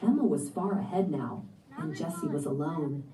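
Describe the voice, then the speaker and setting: A woman's voice: low-pitched, wildly swooping pitch, moderate pace, a crowd in the background. A woman reading a children's story aloud as a scripted narration with acted dialogue. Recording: echoey room, distant muffled voice.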